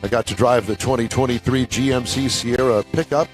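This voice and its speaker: A man's voice: medium pitch, fairly even intonation, fairly quick, faint music behind. Folksy man hosting a radio car show. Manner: folksy, joking, stumbles over long lists. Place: radio studio.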